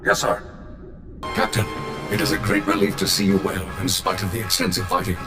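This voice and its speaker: An actor playing an earnest, dutiful combat droid in a video game, with formal, elaborate phrasing. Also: male voice, sits low, a little fast, over music. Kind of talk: video game cutscene acting.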